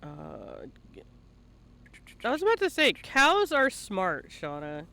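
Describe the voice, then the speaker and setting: A man's voice: high-pitched, huge pitch swings, slow, creaky, bright, forceful tone. A man hosting a casual live stream as interviewer, teasing and swearing playfully, now and then slipping into sing-song asides. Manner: playful teasing, profane banter. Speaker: interviewer and livestream host.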